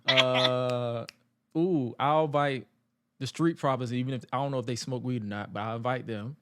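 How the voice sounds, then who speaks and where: A man's voice: medium pitch, steady tone, normal speed, very noisy. A man in his late twenties co-hosting a podcast, chatting casually and joking bluntly with slangy asides. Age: 20s. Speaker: podcast co-host. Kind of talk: casual chat.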